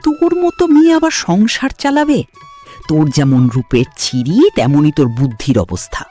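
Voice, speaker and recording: A woman performs a disapproving older village mother-in-law for a cartoon. Her delivery is very animated, grumbling and dismissive. Soft music runs behind her. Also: low-pitched, normal speed. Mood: scornful. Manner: scolding, dismissive. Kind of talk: cartoon voice acting.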